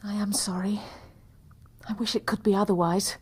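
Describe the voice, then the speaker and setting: An actress playing a young wife, coaxing and secretive, in a period radio drama. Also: female voice, mid-range voice, fairly even intonation, normal speed, breathy edge, lightly acted. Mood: coaxing, evasive.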